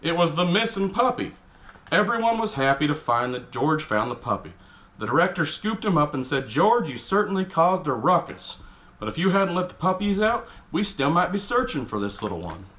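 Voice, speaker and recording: A man's voice: a little high, very animated, moderate pace, a bit bright. A man putting on a cranky middle-aged Texan dad with a Texan accent, reading a picture book aloud with blunt, exasperated asides. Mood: exasperated storytelling. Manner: grumpy, exasperated, blunt, mildly profane. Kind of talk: comic character storybook reading with grumbling asides.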